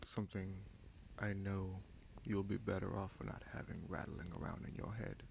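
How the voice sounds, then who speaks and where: A man playing a vengeful, brooding bastard prince and sorcerer in a fantasy audio roleplay, speaking in a measured, solemn, halting way. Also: sits low, fairly even intonation, creaky, background noise. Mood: solemn, earnest.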